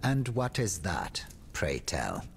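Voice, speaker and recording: A man's voice: sits low, wildly swooping pitch, slow pace, vocal fry, slight background noise, heavily acted. An actor playing a pompous, silky statesman and schemer in a video game, theatrical, florid and courtly. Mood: dismayed, evasive. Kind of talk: video game cutscene acting.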